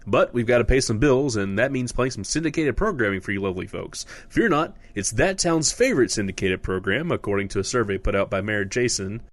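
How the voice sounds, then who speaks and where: A man's voice: fairly low pitch, expressive, a little fast, few pauses, no room sound. A man hosting a comic radio show, announcing in a folksy, chatty style.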